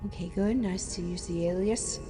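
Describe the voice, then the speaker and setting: A woman acting a scheming infiltrator in an audio drama, speaking in a measured, deliberate way. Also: fairly low pitch, steady tone, in a large hall, over music, natural voice. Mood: watchful.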